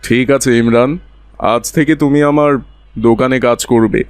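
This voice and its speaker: A fatherly cartoon scrap dealer , acted by a man, with a mid-pitched voice recorded dry. He is kind and reassuring. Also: steady tone, normal speed, smooth, no echo, close to an everyday voice. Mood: kindly. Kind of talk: cartoon voice acting.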